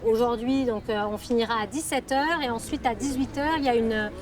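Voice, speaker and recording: A woman's voice: fairly high pitch, fairly quick, over music. A woman working as a council project officer, explaining an outdoor environmental event with frequent hesitations and fillers.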